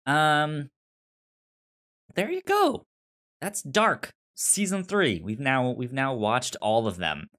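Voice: mid-range voice, very animated, slow pace, long pauses, fairly bright tone. A podcast host of unclear sex chatting casually about television, often stumbling and repeating words while explaining. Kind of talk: casual TV show discussion.

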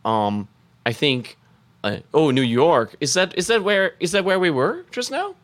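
A man's voice: on the high side, lively intonation, measured pace, a bit bright. A man co-hosting a casual live stream, goofy and chatty, throwing out puns and hyping them up to the audience. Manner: goofy, hypes up his own jokes, lots of fillers. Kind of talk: casual banter, telling puns.

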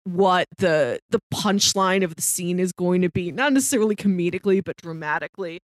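A woman's voice: on the low side, fairly quick, dry recording. A woman co-hosting a podcast, chatting casually about film in a conversational way peppered with "like.". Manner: conversational, frequent "like". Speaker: podcast co-host. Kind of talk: casual film discussion chat.

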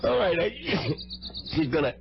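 An actor playing a sick, uneasy, secretly scheming man in a radio drama, halting and wary. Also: on the high side, sing-song, creaky, very noisy. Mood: grim, desperate. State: sick, coughing. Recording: dull vintage radio recording.

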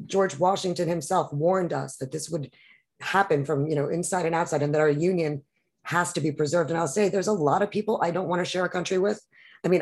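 A woman's voice: low voice, a little mellow. A woman from New York, a podcast guest, talking in an impassioned, rambling way with many restarts. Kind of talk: emotional political conversation.

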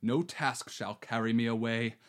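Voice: mid-range voice, a little slow, natural voice. A performer playing a swaggering male bandit who poses as a romantic marquis, delivering verse theatrically. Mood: grandiose. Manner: bombastic, theatrical verse delivery with wild swings. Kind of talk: amateur reading of a verse play.

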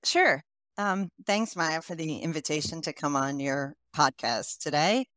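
A woman in her forties with an American accent, a company CEO answering interview questions in a measured way, often pausing with "um". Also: sits low, fairly bright tone, no echo, studio quality. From United States. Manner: measured, many ums while thinking. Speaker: CEO guest. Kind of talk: podcast interview.

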